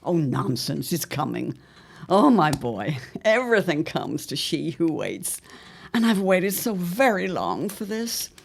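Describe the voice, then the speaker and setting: A scheming, haughty middle-aged mother, played by a woman in an audio drama. Her voice is low and gravelly, and it swoops widely as she speaks in grand, theatrical, drawn-out phrases. She sounds shrewd and entirely sure of her power. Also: very animated, full character voice. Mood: exultant. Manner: grand, theatrical, drawling delivery.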